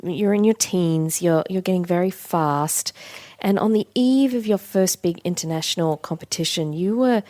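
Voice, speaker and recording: A woman hosting a public radio interview from a studio, calm and measured, with clearly read introductions and gentle questions. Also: lowish voice, dry recording.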